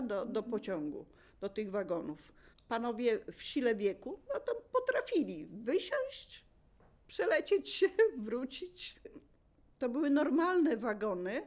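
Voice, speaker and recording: A woman's voice: on the high side, darkish tone. An older woman from Poland, a local resident casually sharing her memories. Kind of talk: casual reminiscing.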